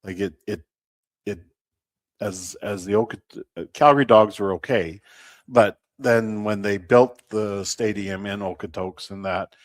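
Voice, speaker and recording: A man speaking unscripted as a guest in a sports interview, measured and halting, often pausing mid-sentence. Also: fairly low pitch, no echo. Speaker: baseball team representative, interview guest.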